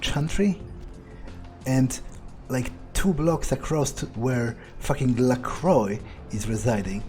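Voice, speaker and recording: A man's voice: mid-range voice, takes it slowly, warm tone. A man doing an in-character game playthrough, musing aloud with plenty of swearing and hesitant phrasing. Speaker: gamer playing in character. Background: game music.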